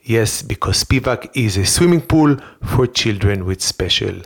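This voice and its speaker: An earnest, childlike talk show host with an Israeli accent, as a comic performer plays it. The English is broken and halting, full of repetition and naive boasting. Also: mid-range voice, animated. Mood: proud, wistful. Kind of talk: comedic children's talk show hosting and storytelling.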